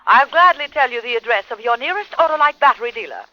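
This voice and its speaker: An actor playing a chirpy, helpful telephone operator in an old radio commercial. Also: male voice, extremely high pitch, expressive, fast talker, pushed and bright, no echo, heavily acted. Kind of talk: 1940s-50s radio commercial. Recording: muffled old radio recording.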